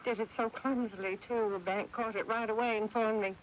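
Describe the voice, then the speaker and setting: An American woman playing a doting, indulgent mother of grown sons in a radio drama, coaxing and gently insistent, on a hissy 1980s AM recording. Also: a little high, steady tone, a little fast, close to an everyday voice, rough recording. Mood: grave concern. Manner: coaxing, excusing.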